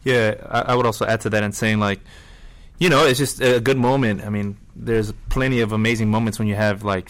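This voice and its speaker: An easygoing man from Colombia with a low voice talks casually, leaning on fillers like "you know" and "like." His voice sounds close and plain. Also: a bit bright, clean tone. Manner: easygoing, uses lots of fillers. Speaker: band member. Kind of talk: casual interview chat.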